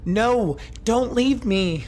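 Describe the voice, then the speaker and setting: A man's voice: very high-pitched, expressive, extremely slow, a little mellow, full character voice. A man playing a devoted fugitive in an audio drama, speaking earnestly and emotionally. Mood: pleading. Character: pleading, devoted fugitive loved one in memory. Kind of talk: dramatic audio fiction acting. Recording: echoey, faint noise.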